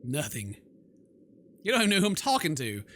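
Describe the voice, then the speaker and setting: A man's voice: fairly high pitch, wildly swooping pitch, a little fast, fairly bright tone, slight background noise. A man hosting a horror game playthrough, reading text aloud and reacting with theatrical, sarcastic, exclamatory commentary. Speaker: gaming video host.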